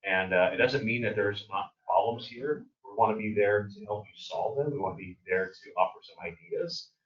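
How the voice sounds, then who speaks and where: A man serving as sheriff, speaking loudly and directly to a community meeting. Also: fairly low pitch, moderate pace. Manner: loud, direct and plainspoken. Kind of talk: public meeting remarks. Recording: dull roomy sound from far off.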